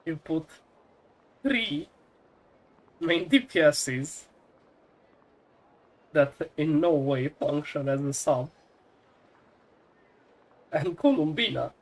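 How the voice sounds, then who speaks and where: Slow, nasal-sounding sick man. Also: fairly high pitch, lively intonation, low-quality recording. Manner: halting, with fillers and throat clearing. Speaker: gamer. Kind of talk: casual chat while gaming.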